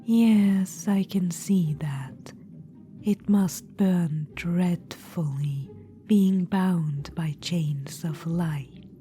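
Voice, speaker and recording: A woman voicing a cold, scheming undead witch-queen in a whispered ASMR roleplay, with a dry, mocking manner. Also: very slow. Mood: sly, probing. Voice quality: whispered, soft and low. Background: music.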